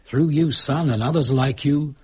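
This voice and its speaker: An actor playing an earnest, guilt-ridden, defensive middle-aged Englishman with a rough, husky voice in a British drama. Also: a little high, normal speed, darkish tone. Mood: reflective. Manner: earnest, intense. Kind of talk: British radio drama acting.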